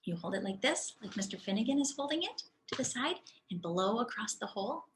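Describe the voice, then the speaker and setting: A woman teacher reading a children's storybook aloud expressively, speaking to young listeners in an animated, demonstrative way. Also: a little high, a little fast, slight background noise.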